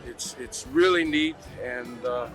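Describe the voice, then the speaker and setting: A man's voice: mid-range voice, slow pace, music in the background. A man in his fifties from Hawaii chatting loosely at a surf festival, with fillers and teasing jokes. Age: 50s. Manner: joking, relaxed, many fillers. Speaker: interviewee. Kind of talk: casual chat.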